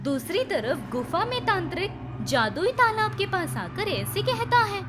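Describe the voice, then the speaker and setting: A woman's voice: extremely high pitch, big pitch swings, a little slow. A woman narrating a scripted children's story. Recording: music behind the voice.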